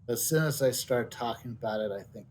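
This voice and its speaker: A man giving casual playthrough commentary as a game streamer, thinking aloud with halting repetitions. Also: medium pitch, darkish tone, a little hiss or hum.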